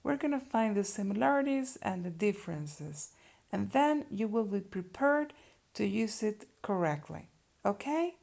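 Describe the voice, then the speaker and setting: Slow, gravelly woman teacher. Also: medium pitch, lively intonation, a little mellow. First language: Spanish. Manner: slow and deliberate, checks in with "okay?". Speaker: Spanish teacher. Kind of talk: language lesson.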